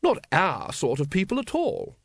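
Man voicing a high, posh English lady. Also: wildly swooping pitch, moderate pace, no room sound, clean studio sound. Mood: airy, disapproving. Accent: upper-class English. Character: posh, airy, high-born lady, breezily disapproving.

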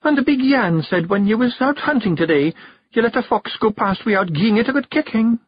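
A man's voice: high voice, animated, brisk, slightly rough, no room sound, dull audio, voice pushed up. A man voicing a tiny fairy clan wife with a Scottish accent, bossy yet tender, in a storybook reading. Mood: careful concern. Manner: sharp and bossy, pleading when worried.

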